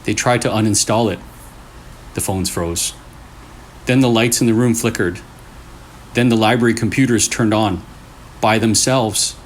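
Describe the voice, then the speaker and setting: Man, low voice, scary-story narrator, suspenseful phrasing, faint noisy background. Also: measured pace, long pauses. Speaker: host reading scary stories. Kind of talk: storytelling with casual reactions between stories.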